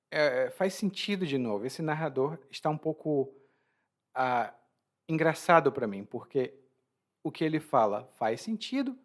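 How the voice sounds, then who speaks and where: Lively-voiced male teacher. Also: a little high. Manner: explanatory, frequent restarts and laughs. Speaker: Portuguese teacher. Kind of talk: language lesson explaining expressions.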